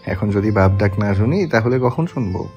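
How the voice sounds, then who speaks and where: A man playing a tender young husband in a romantic audio story, speaking softly and gently. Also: animated, normal speed, lo-fi sound, close to an everyday voice. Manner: soft, gentle, low-voiced. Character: tender young husband, soft-spoken and teasing. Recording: soft background music.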